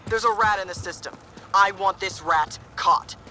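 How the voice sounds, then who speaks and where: A man's voice: high voice, moderate pace, bright, forceful tone, slight background noise. A man voicing an irate, bullying crime boss who barks at underlings in a video game. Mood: menacing. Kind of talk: video game villain acting.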